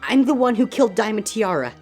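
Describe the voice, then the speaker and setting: A woman's voice: fairly high pitch, very animated, quick pace, darkish tone, husky. Woman playing a guilt-stricken, anguished young pony filly. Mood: defiant outburst. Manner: stammering, pleading. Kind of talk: dramatized fan fiction audio reading. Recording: music under the voice.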